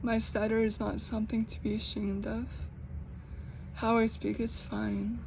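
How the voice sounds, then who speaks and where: A young American woman who stutters, with repeated sounds and blocks, talking slowly and earnestly in a personal vlog. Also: a little high, little pitch movement, vocal fry, a little hiss or hum. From United States. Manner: slow, earnest, gently encouraging. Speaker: vlogger.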